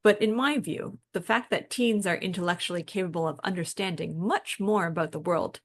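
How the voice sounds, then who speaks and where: A woman co-hosting a podcast, making her points in a reflective, measured, conversational way. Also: on the low side. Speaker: podcast co-host. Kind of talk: conversational podcast discussion, partly prepared points.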